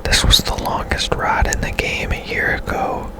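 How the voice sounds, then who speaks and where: A man whispering casual ASMR commentary as he plays a video game, musing in a hushed, unhurried way. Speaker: game streamer, ASMR host.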